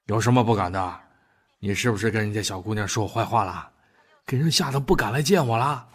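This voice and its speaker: A man acting a gruff, teasing, doting old grandfather. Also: fairly low pitch, brisk. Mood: teasing scolding. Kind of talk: Chinese audio drama acting.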